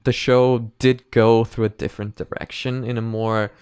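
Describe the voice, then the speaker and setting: A man's voice: medium pitch, little pitch movement, moderate pace, darkish tone, clean tone, no echo, studio quality. A man hosting a reaction video, talking in a casual, rambling, conversational way.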